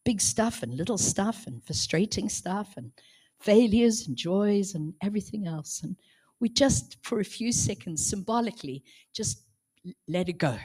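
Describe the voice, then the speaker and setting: A woman from South Africa with a hoarse, strained voice, speaking as a guest preacher in church, chatty and self-joking between gentle prayers. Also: medium pitch, animated, moderate pace. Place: church hall, in front of a congregation.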